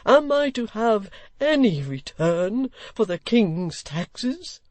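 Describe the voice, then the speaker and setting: A woman voicing a choleric, frantic old gentleman who pleads and protests in indignant outbursts. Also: medium pitch, sing-song, slow, no room sound, voice pushed up.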